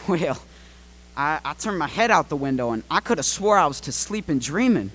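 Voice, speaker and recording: A folksy farmer played by an actor rambles hesitantly as a radio play witness. His middle-pitched voice swings up and down in a lively way. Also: normal speed. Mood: folksy, excited. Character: slow, rambling, folksy male farmer, easily sidetracked.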